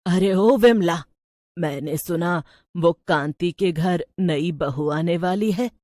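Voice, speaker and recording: A woman's voice: lowish voice, slow pace, darkish tone, no room sound. A woman voicing a gossipy, superstitious old village woman in a storybook tale, with an emphatic, scolding, colloquial way of talking.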